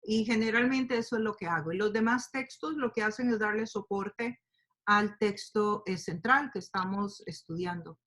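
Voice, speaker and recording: A woman teaching a Bible study to a group, speaking warmly and explaining with fillers and questions. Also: mid-range voice, normal speed. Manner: speaks to a group, asks them to open their Bibles, many fillers like "eh" and "este". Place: lives in the United States.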